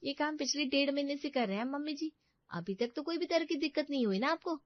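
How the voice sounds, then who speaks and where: Young daughter-in-law, breezy woman actor, high voice, family cartoon, slightly lo-fi. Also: quick pace, no echo, natural voice. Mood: breezy, defensive. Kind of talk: Hindi moral-story cartoon dialogue.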